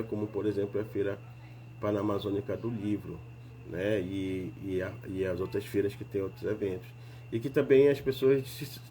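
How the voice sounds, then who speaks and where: A man's voice: lowish voice, mellow, a little hiss or hum. A man, congested from a cold, hosting a live stream in a casual, rambling way with many fillers.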